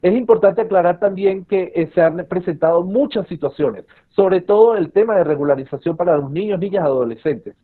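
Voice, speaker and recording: A man's voice: sits high, a little fast. A man from Caracas with a Venezuelan accent, a civil association representative giving an earnest, hesitant advocacy statement full of fillers over a video call. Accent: Caracas Venezuelan Spanish. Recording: tinny phone-like line.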